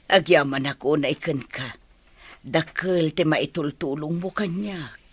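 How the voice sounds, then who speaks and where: An actress with a Filipino accent playing an older village mother in a radio drama, caring and anxious about her family, with a husky voice. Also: low-pitched, measured pace. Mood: earnest. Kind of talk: Ilocano radio comedy drama acting.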